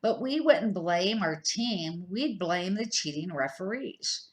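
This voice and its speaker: A woman's voice: fairly low pitch, animated, slow pace. An earnest, principled man who runs a food truck, voiced by an older woman reading a storybook. He explains things emphatically and stands firmly by his views. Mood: persuasive.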